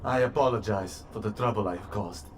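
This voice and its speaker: An actor playing a stiff, earnest robot soldier in a video game, speaking in formal, stilted, polite phrases. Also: male voice, fairly low pitch, fairly quick, close to an everyday voice. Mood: apologetic, stiff. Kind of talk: video game cutscene acting.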